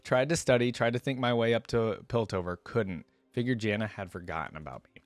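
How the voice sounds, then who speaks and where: A downcast young male inventor , read aloud by a man, tells his own story from a smoggy slum. The voice is on the low side, plain and resigned, with a self-deprecating bitterness. It sounds dry and close. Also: fairly quick, dry recording, natural voice. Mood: sad, resigned.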